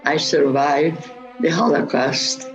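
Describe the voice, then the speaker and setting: An older woman from Hungary, a Holocaust survivor, speaking in a slow, plain, measured way. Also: sits low, steady tone, over music, low-quality recording. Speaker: Holocaust survivor lighting a memorial candle.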